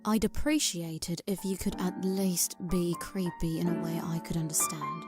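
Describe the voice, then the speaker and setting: A woman's voice: fairly low pitch, fairly even intonation, moderate pace, lightly acted. A woman playing a starstruck seventeen-year-old girl who narrates earnestly and dramatically in a fan-dubbed comic drama, with music playing. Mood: wary.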